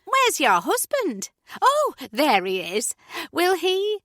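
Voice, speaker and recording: A woman's voice: very high-pitched, wildly swooping pitch, a bit bright, no echo, studio quality, pitched above the performer's own voice, full character voice. A woman voicing a chatty, hyperactive middle-aged neighbour with a slight northern English accent, talking over everyone in a storybook reading. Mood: effusive. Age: 40s-50s. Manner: gushing, rapid-fire, overbearing.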